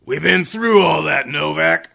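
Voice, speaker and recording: Man playing gravelly, bullying radio police inspector. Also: a little high, expressive, unhurried, sharp tone, heavily acted. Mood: menacing, smug. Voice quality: gravelly, raspy. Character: gruff, bullying male police inspector, easily rattled. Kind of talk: old-time radio detective acting.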